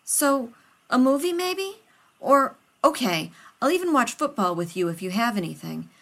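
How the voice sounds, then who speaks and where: A woman's voice: fairly high pitch, sing-song, normal speed, pitched above the performer's own voice. A woman reading a story aloud, voicing a fussy, rambling male scientist who talks over others. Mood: flustered.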